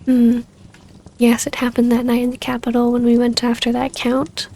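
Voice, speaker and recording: A woman with a fairly high voice and a strong creak plays a wistful vampire baroness in an ASMR roleplay. Her soft, hesitant delivery stays within a narrow pitch range, intimate and tender. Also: monotone, normal speed.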